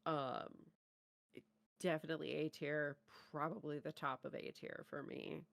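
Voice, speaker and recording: A woman's voice: deep voice, level tone, normal speed, gravelly, dry recording. A woman co-hosting a reaction show, gushing and exclaiming in casual chat. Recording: game trailer music in background.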